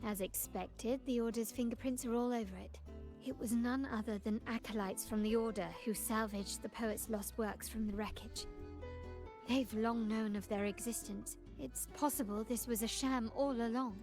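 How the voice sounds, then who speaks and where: A woman's voice: a little high, fairly even intonation, moderate pace, over music, lightly acted. An actress playing a poised, shrewd noblewoman in a game, speaking in a formal, composed, analytical way. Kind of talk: scripted game voice acting.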